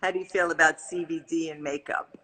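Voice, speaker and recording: Woman host, upbeat, bright and husky, reads fan questions on a live stream. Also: deep voice, fairly even intonation, a little fast, rough recording. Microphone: phone or laptop on a live video call.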